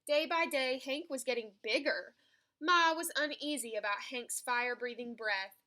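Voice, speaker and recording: Bright, higher-pitched woman reading a storybook. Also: moderate pace. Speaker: storybook reader. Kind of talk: expressive children's picture book read-aloud.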